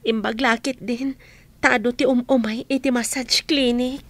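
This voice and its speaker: An earnest, grateful grown daughter in a radio drama, with a very high voice. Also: female voice, fairly even intonation, measured pace, dry recording.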